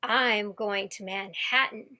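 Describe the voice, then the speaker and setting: A woman playing a wistful but resolute small-town young woman in a radio play, speaking in a measured way. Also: medium pitch, steady tone, pushed and bright. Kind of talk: community theatre radio play acting. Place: home, over video call.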